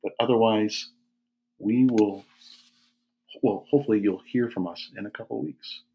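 A man's voice: lowish voice, moderate pace, creaky, warm tone, breathy edge, rough recording. A man co-hosting a podcast in casual conversation, teasing and wry. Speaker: podcast co-host, yoga studio owner.

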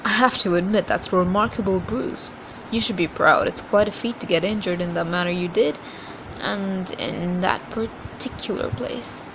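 A woman's voice: mid-range voice, moderate pace, natural voice. A blustering fighter acted by a woman brags and teases good-naturedly in a fantasy storybook. The recording has noticeable background noise. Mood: impressed. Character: gruff, bragging male warrior companion, good-naturedly scornful.

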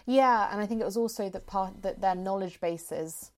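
A woman's voice: mid-range voice, moderate pace. A woman in casual, reflective conversation as a writer, phrasing things tentatively and repeating words as she thinks. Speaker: writer discussing her own storytelling project.

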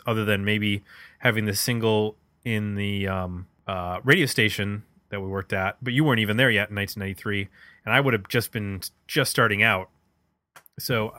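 A man's voice: on the low side, bright, forceful tone, no room sound. A middle-aged man chatting casually as the host of a music podcast.

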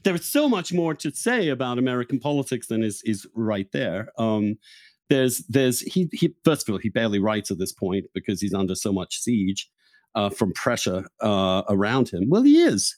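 A middle-aged man hosts a political debate with a medium-pitched, very animated voice. He is blunt and emphatic, readily cutting in, and the recording is dry. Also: normal speed, no echo. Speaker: podcast host. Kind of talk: heated, conversational political debate.

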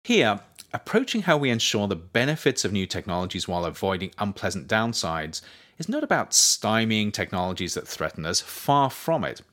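A man reading his own scripted non-fiction as narrator, with measured, articulate, emphatic phrasing. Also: lowish voice, big pitch swings, fairly bright tone. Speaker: author reading own book as audiobook podcast.

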